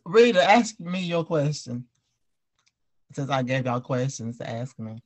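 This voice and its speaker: A man's voice: mid-range voice, lively intonation, a little slow. A man hosting a casual group discussion, asking questions and explaining things conversationally. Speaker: podcast host.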